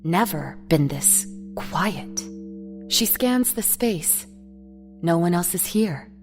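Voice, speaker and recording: A woman's voice: fairly low pitch, lively intonation, takes it slowly, faint music behind. A woman narrator reading a scripted, dramatic story.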